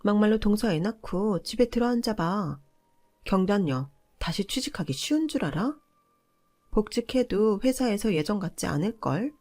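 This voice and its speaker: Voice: mid-range voice, expressive, moderate pace, a little mellow, voice pushed up, natural voice. A narrator voicing a pushy, entitled older sister-in-law in a family story, scolding and condescending. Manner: condescending, nagging, argumentative.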